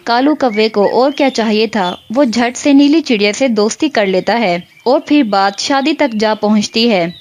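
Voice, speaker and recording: A woman storyteller reading a scripted moral tale for children. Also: a little high.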